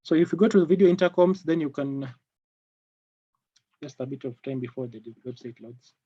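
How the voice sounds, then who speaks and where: A man's voice: on the high side, warm tone, breathy edge, no echo. Kenyan guy running an online tech training, repeats himself a lot, muffled dry audio. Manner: halting, repeats phrases. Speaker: product trainer. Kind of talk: live online technical training. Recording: dull lo-fi online call audio.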